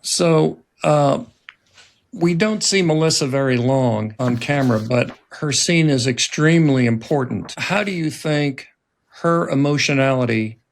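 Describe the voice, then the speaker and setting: Guy interviewing people, gravelly creaky voice, kinda halting questions, casual convo. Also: mid-range voice. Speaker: interviewer, host.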